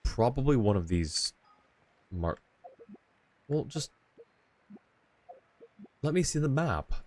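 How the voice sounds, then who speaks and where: A man's voice: mid-range voice, very animated, slow, darkish tone. A man giving casual live commentary as he streams a game, often muttering to himself.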